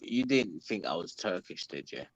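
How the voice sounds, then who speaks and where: A man's voice: sits low, big pitch swings, moderate pace, dry recording, low-quality recording. A man from London with a London accent, hosting a casual livestream chat, talking in a chatty, hesitant way with many ums. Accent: London English. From London, England. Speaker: livestream host reading chat.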